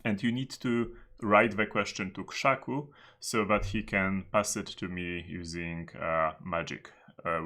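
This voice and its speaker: Deep-voiced man, recovering from a cold. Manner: relaxed and chatty, with frequent filler words. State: recovering from a cold, voice partly lost. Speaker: livestream host. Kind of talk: casual livestream chat.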